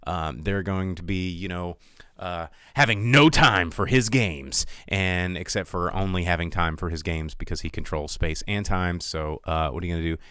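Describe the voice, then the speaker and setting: An American man with a fairly deep, resonant voice hosts a live film show. He chats loosely with viewers and rants with animated conviction, repeating words for emphasis. The sound is dry, with very little room in it. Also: moderate pace, clear, resonant tone, dry recording. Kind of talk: casual livestream chat with viewers.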